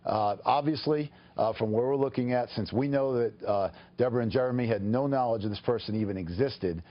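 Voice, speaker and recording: A man speaking as an attorney in a live TV news interview, explaining formally and haltingly. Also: medium pitch, highs cut off.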